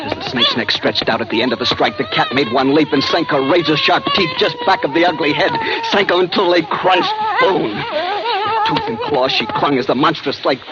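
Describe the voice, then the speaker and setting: A man's voice: on the high side, sing-song, normal speed. An American actor playing a man who dramatically recounts a brush with death in 1940s radio drama style. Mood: frantic suspense. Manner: intense, dramatic delivery. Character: young male adventurer breathlessly recounting his narrow escape from death. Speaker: lead actor narrating. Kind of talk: 1940s radio drama acting, first-person narration. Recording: dull vintage radio recording, loud music.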